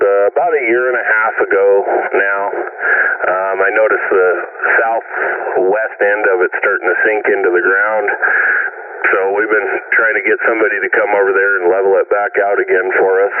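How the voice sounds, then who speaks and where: A man on CB radio who talks in an easygoing, rambling way with many pauses and fillers. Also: lowish voice, flat delivery. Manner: easygoing, rambling, lots of uhs. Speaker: CB radio operator. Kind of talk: casual CB radio chat. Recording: distant, phone-like CB radio.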